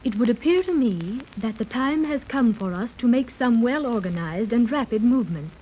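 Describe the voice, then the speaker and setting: Actress as a measured, formal commander with a raised voice, lo-fi radio. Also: female voice, fairly high pitch, animated, lightly acted. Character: Elaine, formal mysterious submarine commander. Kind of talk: 1930s radio acting. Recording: muffled old radio recording, faint hiss.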